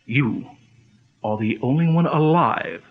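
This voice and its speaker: Calm commanding pulp hero, measured and authoritative, dull lo-fi radio sound. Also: male voice, medium pitch. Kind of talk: 1940s radio acting.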